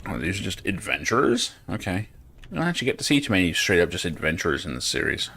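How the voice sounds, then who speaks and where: Low-voiced man casually commentating a game. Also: sing-song, fast, gravelly, bright, forceful tone, faint music behind. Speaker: game streamer. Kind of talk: casual gameplay commentary, reading quest text aloud.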